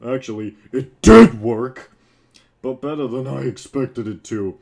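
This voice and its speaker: A man voicing a defeated, grudging monster villain from a video game, with emphatic, drawn-out words. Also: medium pitch, gravelly, a little mellow. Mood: resigned, grudging.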